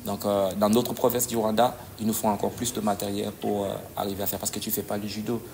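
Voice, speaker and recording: Gravelly man, halting. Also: fairly low pitch, level tone, darkish tone, a little hiss or hum. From DR Congo. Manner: halting, searching for words, frequent "tu vois". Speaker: judo coach. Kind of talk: conversational interview answers.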